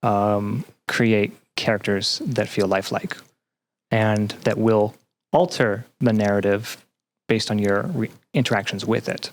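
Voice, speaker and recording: A man with a somewhat low voice talks as an interview guest. He explains things conversationally, with a hesitant delivery, pausing often as he looks for the right word. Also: fairly even intonation. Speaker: podcast guest, student game developer.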